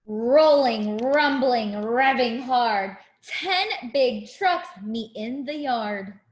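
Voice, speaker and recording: High-pitched, animated woman reading to children. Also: extremely slow, roomy sound. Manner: expressive, simple phrasing for small children. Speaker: teacher reading a picture book to young students. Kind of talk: children's read-aloud with asides to the listeners.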